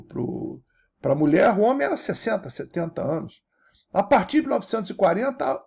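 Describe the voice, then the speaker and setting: A man, giving a lecture as an animated teacher who keeps checking that his audience follows. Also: sits high, creaky, husky. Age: 70s. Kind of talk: recorded university-style lecture.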